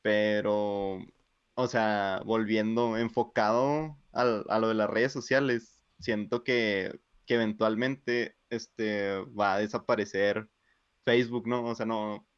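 A man's voice: fairly low pitch, steady tone, clean tone, dry recording, highs cut off. A young man in his twenties with a Mexican accent, speaking hesitantly in a casual chat. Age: 20s. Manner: hesitant, fills pauses with "este".

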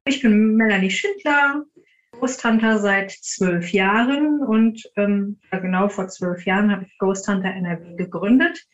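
A woman's voice: medium pitch, measured pace. A woman answering interview questions conversationally as a podcast guest, in a roomy and slightly muffled recording. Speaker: podcast guest, team founder. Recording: roomy, slightly dull sound.

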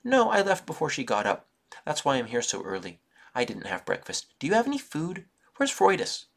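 A restless little boy whines in a high, stretched-out voice, eager and bored at once, as a man puts him on. The sound underneath stays gravelly and husky. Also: huge pitch swings, fairly bright tone, exaggerated voice. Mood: whiny. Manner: high, drawn-out whining.

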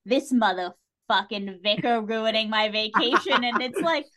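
A woman with a fairly high voice talks casually as part of a podcast panel. She has a wry way of joking while she discusses things. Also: unhurried, fairly bright tone.

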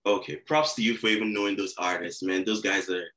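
A man in his twenties with a somewhat low voice. He tells stories as a podcast guest in a laid-back way, full of slang. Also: steady tone, fairly quick, a bit bright. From Nigeria. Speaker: guest rapper. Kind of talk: casual podcast interview.

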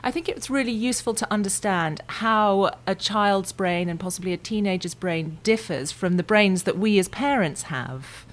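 A woman's voice: mid-range voice, normal speed, few pauses. A woman hosting a parenting podcast, reading a scripted introduction, then asking earnest questions. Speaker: podcast host.

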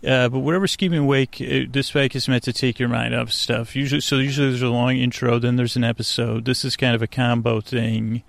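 A man hosting a sleep podcast in a creaky, soothing voice, meandering and halting through absent-minded asides in a bedtime monologue. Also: mid-range voice, flat delivery, no echo. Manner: soothing, lulling.

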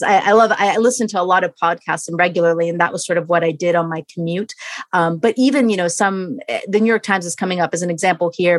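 A woman's voice: fairly low pitch, dry recording. A woman speaking as a panel guest, enthusiastic and loose, with lots of fillers. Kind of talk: panel discussion.

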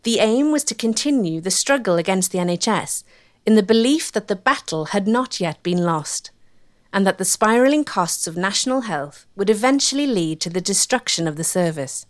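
A woman giving measured, scripted narration for a history documentary. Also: medium pitch. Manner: measured, articulate.

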